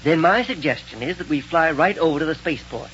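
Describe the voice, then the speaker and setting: Calm scientist, vintage radio sound. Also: male voice, a little high, fast talker. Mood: pleased. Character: calm, authoritative male scientist, inventor of rocket devices. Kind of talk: 1930s radio serial acting. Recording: dull, hissy 1930s radio recording.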